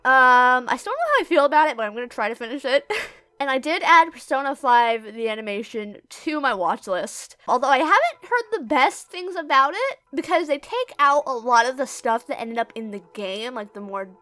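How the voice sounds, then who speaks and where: A woman's voice: high-pitched, expressive, normal speed, pushed and bright, no room sound. A woman casually reading out viewer questions and answering them in a rambling, giggly, self-deprecating way. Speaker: YouTube creator and amateur comic dub voice actor. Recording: music playing behind the voice. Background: background music.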